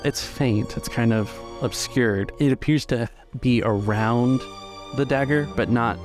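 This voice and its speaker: A man working as a game master, narrating a tabletop roleplaying game in a measured, descriptive way. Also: medium pitch, faint music behind. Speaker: game master and podcast host.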